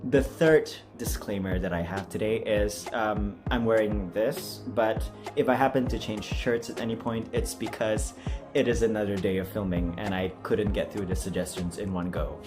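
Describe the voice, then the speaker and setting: A low-voiced man talks to his viewers in an off-the-cuff vlog style. He rambles along in a self-deprecating, apologetic manner. Music plays clearly behind him. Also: fairly even intonation, normal speed. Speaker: amateur voice actor and video host. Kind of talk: casual unscripted vlog chat.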